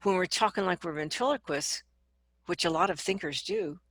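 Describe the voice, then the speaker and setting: A woman speaking as a vocal coach in an interview, explaining advice conversationally and acting out examples in an animated manner. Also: lowish voice, normal speed, no room sound, studio quality.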